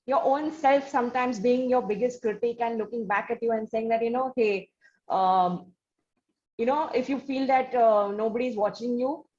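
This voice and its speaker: A woman's voice: on the high side, moderate pace, a little mellow. A woman with an Indian accent hosting an interview, speaking in a wordy, effusive, hesitant way. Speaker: interview show host. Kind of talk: conversational interview. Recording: dull, lo-fi audio with background noise.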